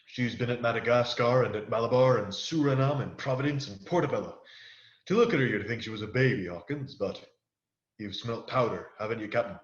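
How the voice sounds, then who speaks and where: A man's voice: mid-range voice, fairly quick, natural voice. A man playing a wily, swaggering pirate ship's cook in a radio play, talking in boastful, salty pirate dialect. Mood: jovial, boastful. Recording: echoey room, dull muffled sound.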